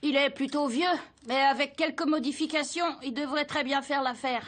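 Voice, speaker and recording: Nerdy schoolboy sidekick, cartoon, high pitch, earnest, sceptical. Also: female voice, fairly even intonation, normal speed, a bit bright, soft background music. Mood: practical. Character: nerdy, doubtful, tech-minded schoolboy sidekick. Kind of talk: French-dubbed TV cartoon acting.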